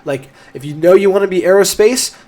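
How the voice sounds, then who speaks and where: A man's voice: high-pitched, a little fast, darkish tone. A young man hosting a casual livestream, answering chat questions with a stuffed-up nose and frequent restarts. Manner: enthusiastic, explanatory, frequent restarts.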